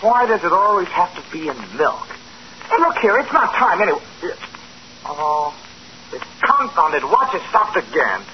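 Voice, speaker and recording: A creepy invalid with a poison obsession, high-pitched and sing-song, old lo-fi radio drama. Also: male voice, very animated, moderate pace, slight background noise. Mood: sinister. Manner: relishing, sinister, fussy. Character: sickly pale young man, poison-obsessed invalid. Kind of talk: 1940s radio acting. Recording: dull lo-fi old radio broadcast.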